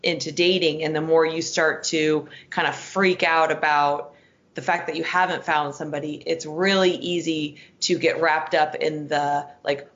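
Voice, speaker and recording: Low-voiced, slightly hoarse woman podcast host. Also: roomy sound, muffled recording. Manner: chatty, lots of "like" and restarts. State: a little hoarse. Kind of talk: casual interview chat and solo outro.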